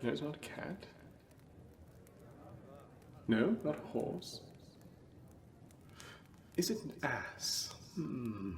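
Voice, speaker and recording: Slightly distant from the mic, with faint noise around him, a man plays a cheerful young gentleman nephew in a period Christmas drama. He is genial, playful and forgiving, at a middle pitch. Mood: playful, amused. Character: Scrooge's good-humoured, forgiving nephew at Christmas party. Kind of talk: period literary drama acting.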